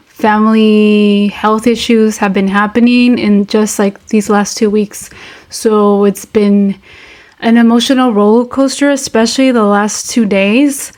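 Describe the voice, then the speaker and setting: A woman co-hosting a podcast in casual chat, her voice raspy and hoarse from talking, hesitant with many ums. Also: mid-range voice. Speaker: podcast co-host.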